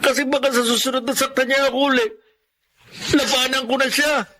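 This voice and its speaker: Loyal, plaintive young son in Filipino radio drama, very high youthful pitch, Filipino accent. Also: male voice, steady tone, fairly quick, pushed and bright. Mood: hurt, aggrieved. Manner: earnest, plaintive. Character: hardworking, aggrieved boy, loyal son.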